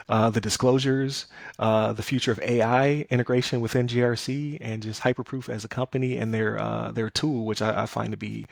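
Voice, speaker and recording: A man's voice: mid-range voice, vocal fry, dry recording, clean studio sound. A man hosting a casual podcast, talking things through loosely with plenty of fillers and restarts. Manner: conversational, many fillers and restarts. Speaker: podcast host.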